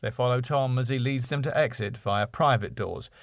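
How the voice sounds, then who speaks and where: Man, scripted narration, stage directions, medium pitch, dry close recording. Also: normal speed, vocal fry, dry recording. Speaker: writer and narrator.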